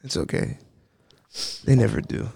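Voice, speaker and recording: A man's voice: measured pace, dark tone. A man co-hosting a podcast, teasing and laid-back at a studio mic. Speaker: podcast co-host. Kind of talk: crude joking banter.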